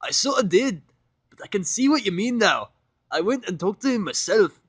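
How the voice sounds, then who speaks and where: A man's voice: high-pitched, very animated, normal speed, a bit bright, husky, no room sound, voice pushed up, exaggerated voice. A man voicing a sweet, earnest young female pony who talks hesitantly and picks words with care. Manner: tentative, choosing words carefully.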